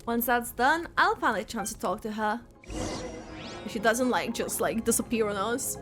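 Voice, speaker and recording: A woman game streamer giving casual reaction commentary, halting as she thinks aloud. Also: on the high side. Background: game music.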